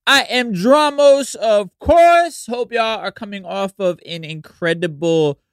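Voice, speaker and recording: A man's voice: high voice, takes it slowly, smooth, no echo. Man, podcast host, slightly nasal and congested, casual meandering talk, close dry recording. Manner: conversational, meandering, frequent "you know". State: congested from allergies.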